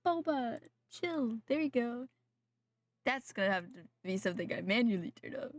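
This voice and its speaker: A woman's voice: on the high side, lively intonation, normal speed. A young adult woman streaming a game, chatting casually with viewers in a slightly nasal voice from a sore throat, rambling and correcting herself. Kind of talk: casual live-stream chat while gaming.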